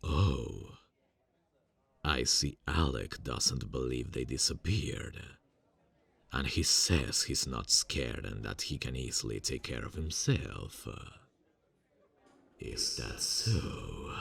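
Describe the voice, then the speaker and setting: A young man playing a friendly male college student who is secretly a serial killer, speaking softly and hesitantly in an ASMR roleplay, with many fillers and quiet insinuations. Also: rumbling low, very animated, takes it slowly, creaky, long pauses, slightly rough, exaggerated voice. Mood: falsely friendly.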